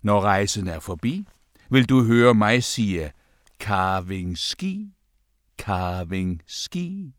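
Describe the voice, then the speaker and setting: A man delivers a scripted parody of a guided meditation, narrating theatrically in short, deliberate sentences. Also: fairly low pitch, lively intonation, no echo, clean studio sound.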